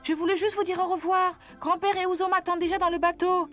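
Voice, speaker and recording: A woman's voice: very high-pitched, level tone, quick pace, a bit bright, soft background music. A woman voicing a polite, earnest young boy in a French cartoon dub.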